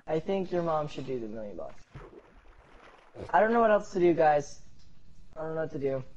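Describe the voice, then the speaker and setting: Lively, jokey male streamer host, headset mic, swinging pitch. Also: moderate pace, highs cut off. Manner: animated, jokey, talkative. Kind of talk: casual gaming stream chat.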